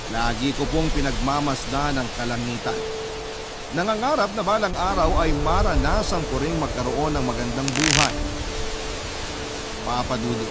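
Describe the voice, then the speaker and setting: Actor doing a sad earnest young guy narrating his hard life on radio, loud music under him. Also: mid-range voice, lightly acted. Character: poor, wistful young man recounting his family's hardship. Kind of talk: first-person dramatic narration for radio.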